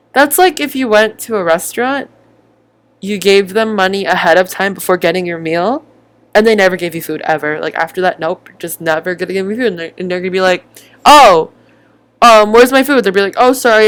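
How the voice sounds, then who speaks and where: A woman giving casual, sarcastic reaction commentary, often acting out what others say. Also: mid-range voice. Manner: sarcastic, animated mimicking. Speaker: video commentator.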